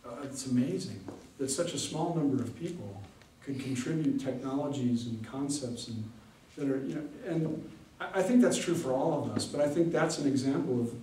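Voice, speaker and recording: A pastor speaks to an audience in a loose, conversational way, often pausing with fillers. His voice is warm and mellow and sits at an ordinary pitch. He is recorded from far off in a very echoey hall with a faint hum of the room behind him. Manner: conversational, hesitant, many fillers. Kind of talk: casual lecture with audience Q&A.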